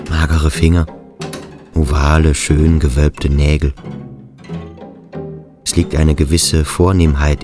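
A very low male voice gives the private thoughts of a brooding schoolboy in a radio drama. The delivery is introspective and deliberate, phrase by phrase. Soft instrumental music sits faintly behind it. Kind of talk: radio drama interior monologue.

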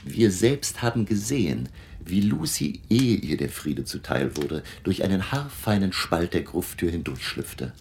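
An actor playing a grave, learned vampire hunter who lectures his allies deliberately and emphatically in a German radio drama. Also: low voice, lively intonation, creaky, a little mellow, slight background noise, full character voice. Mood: grave, resolute.

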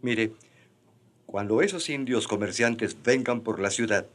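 An actor playing a suspicious, scheming colonial bishop in a radio drama, deliberate and authoritative. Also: male voice, medium pitch, close to an everyday voice.

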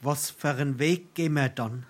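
A man's voice: a little high, normal speed, lightly acted. An actor playing a timid, gullible young craftsman in a dialect stage comedy, with a South Hessian accent and a hesitant, simple-hearted manner. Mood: rueful, nervous. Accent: South Hessian, Darmstadt dialect. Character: timid, gullible young journeyman turner, easily led.

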